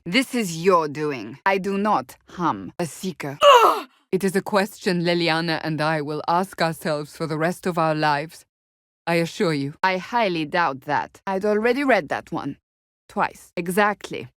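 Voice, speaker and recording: A stern fantasy game warrior woman with a lowish voice and a dry, close sound. She is blunt and devout, speaking in formal, clipped phrases with deadpan exasperation. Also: no echo. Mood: fierce, exerted. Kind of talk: fantasy video game voice acting.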